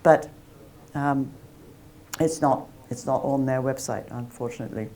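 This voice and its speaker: Low-voiced woman academic, chatty. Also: unhurried, dark tone. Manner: chatty, self-deprecating, many restarts. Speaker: academic guest speaker. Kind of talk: lively talk with audience Q&A.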